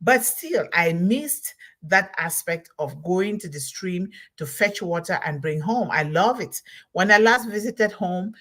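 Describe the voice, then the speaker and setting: A woman's voice: lowish voice, lively intonation, a little slow, fairly bright tone. A middle-aged woman from Cameroon, hosting a solo motivational talk, emphatic and repeating her key words. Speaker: host of a motivational talk series.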